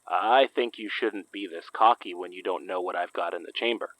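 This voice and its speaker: A low-voiced, terse detective. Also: male voice, moderate pace, fairly bright tone, no room sound, poor audio. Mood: blunt warning. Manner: terse, blunt, understated. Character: wary, level-headed male detective with a gun. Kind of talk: horror audio drama acting.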